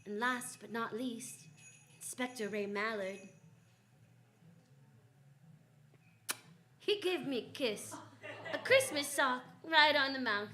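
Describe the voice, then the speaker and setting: A confident female private detective from a 1940s radio mystery, played by an actress. Her voice sits a little high. She tells the story with wry, wisecracking assurance. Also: a little slow, bright, forceful tone. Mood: fond, wry. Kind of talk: 1940s radio detective drama, first-person narration.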